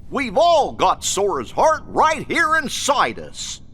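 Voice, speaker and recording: A man's voice: high-pitched, wildly swooping pitch, slow, a bit bright, slight background noise, full character voice. A man voicing a kind-hearted, loyal cartoon dog sidekick in a video game, with a dopey drawl. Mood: earnest. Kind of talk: animated game voice acting. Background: game music.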